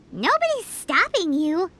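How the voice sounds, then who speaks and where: A woman voicing a tiny, childlike, chirpy and cheeky fairy companion in a video game, squeaky and perky. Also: sing-song, takes it slowly, noisy background, full character voice. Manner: perky, exclamatory.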